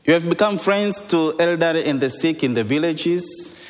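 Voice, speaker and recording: A male priest from Tanzania preaching a sermon in short phrases, pausing between them for an interpreter. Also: fairly high pitch, expressive. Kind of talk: sermon delivered in English with consecutive interpretation. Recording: reverberant hall.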